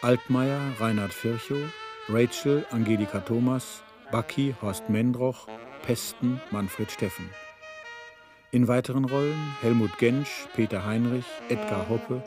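A man's voice: mid-range voice, a little slow. A man announcing a radio drama's title and cast in a formal style. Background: music behind the voice.

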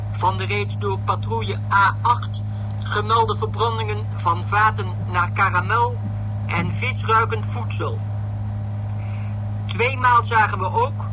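A slow, high male voice, a patrol pilot reporting in clipped official style. Engine-like hum and noise run behind the radio play scene. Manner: flat, official, deliberate. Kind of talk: radio drama acting.

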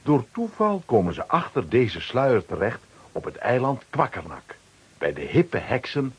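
Husky, animated male storyteller. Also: medium pitch. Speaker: narrator. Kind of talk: scripted storytelling for a children's radio play.

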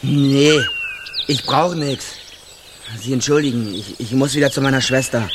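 An actor playing a guarded, evasive poor backwoods man in a radio drama, speaking in terse, halting colloquial phrases. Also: mid-range voice, background noise, natural voice. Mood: uneasy refusal. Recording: outdoor birds and insects behind voice.

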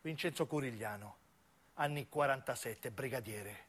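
A man's voice: medium pitch, normal speed, a bit bright, breathy edge. A man narrating a dramatic theatrical monologue in intense, solemn, broken phrases. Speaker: narrating stage actor.

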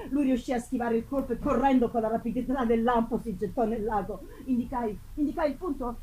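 A woman giving an intense, halting dramatic reading of a gothic novel, repeating words for effect and swinging between hushed and loud delivery. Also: a little high, husky, rough recording. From Italy. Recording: live festival recording with faint noise. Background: live musical accompaniment.

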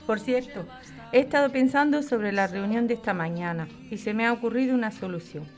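An actress plays an older woman with a Spanish accent, affectionate and practical. Her voice sits slightly high. She talks in a coaxing, plain-spoken way, as in an intimate audio drama. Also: a little fast, faint music behind, natural voice. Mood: fond, frank. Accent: Spain. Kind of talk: podcast radio drama acting, conversational.

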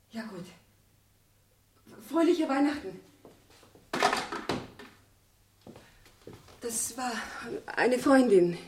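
A woman's voice: mid-range voice, slightly rough, exaggerated voice. An actress playing an anxious, guarded young woman client in a radio crime drama, polite and terse. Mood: evasive. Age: young adult. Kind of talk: 1970s German radio crime drama acting.

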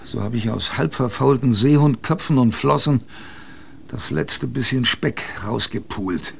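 Gravelly sailor storyteller. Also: male voice, mid-range voice, measured pace, a little hiss or hum. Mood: wry, colloquial. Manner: chatty, addresses the listener directly. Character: plain-spoken sailor recounting a polar expedition, wry. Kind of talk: radio drama first-person narration, colloquial. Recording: faint background ambience.

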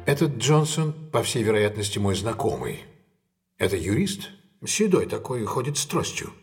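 An actor playing a shrewd, self-possessed gentleman detective in an audio drama, measured and authoritative. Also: fairly low pitch, sing-song, soft background music. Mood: inquisitive.

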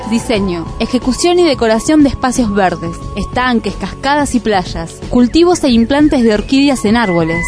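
Una mujer argentina que lee un anuncio comercial de radio con entrega suave e invitante. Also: female voice, a little high, lively intonation, normal speed, few pauses. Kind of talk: scripted radio ad reading. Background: music bed.

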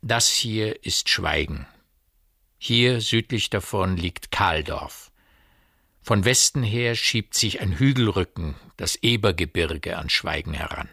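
An actor playing a storyteller in a radio drama, narrating eerie village events in a deliberate, vivid way that addresses the listener. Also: male voice, low-pitched, expressive, creaky, sharp tone, slightly rough, dry recording, studio quality, heavily acted. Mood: matter-of-fact, pointed.

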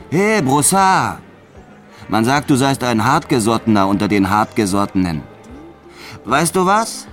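Actor as loud men in a tense standoff. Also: male voice, on the high side, pitched above the performer's own voice. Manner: loud, challenging, strained. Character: cornered male gangster and police commissioner shouting through a door. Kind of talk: acted radio drama dialogue.

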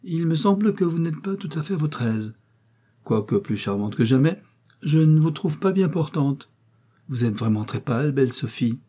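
A man's voice: on the high side, animated, moderate pace, mellow, husky, pitched above the performer's own voice. A man voicing a fawning young male suitor who makes gushing, theatrical declarations of love in an audiobook reading. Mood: gushing, pleading.

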